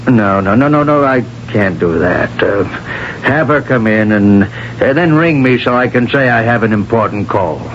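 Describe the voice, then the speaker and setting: An actor playing a jovial but evasive middle-aged construction boss who blusters and stammers through fillers, in a 1970s radio drama. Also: male voice, mid-range voice, lively intonation, moderate pace, natural voice. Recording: dull 1970s radio broadcast with faint hiss.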